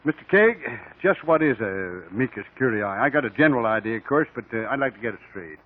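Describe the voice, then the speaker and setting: An actor playing a plainspoken small-town American sheriff in a 1950s radio drama, with rustic, ungrammatical country talk. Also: male voice, medium pitch, lively intonation, fast, narrow, tinny audio, close to an everyday voice. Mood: earnest. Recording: old band-limited radio recording.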